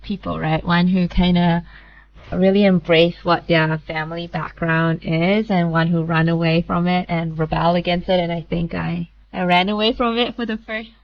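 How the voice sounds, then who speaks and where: A woman's voice: fairly low pitch, fairly even intonation, normal speed, highs cut off. A woman in her thirties from Malaysia, a founder talking casually in an interview, chatty with lots of "like" and "kinda" asides. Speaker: founder guest.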